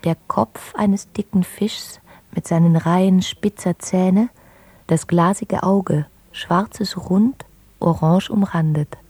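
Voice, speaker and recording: A woman's voice: on the low side, slow, dark tone, breathy edge, no echo. A woman reading scripted literary prose as a narrator in an audio drama.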